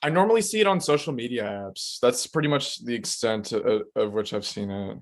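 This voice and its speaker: A young man with an ordinary mid-pitched voice chats in a loose, laid-back way. He laughs easily and sprinkles "like" through his sentences. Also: animated, a little fast. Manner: laid-back, laughs often, many likes. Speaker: co-host, English speaker. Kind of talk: casual chat.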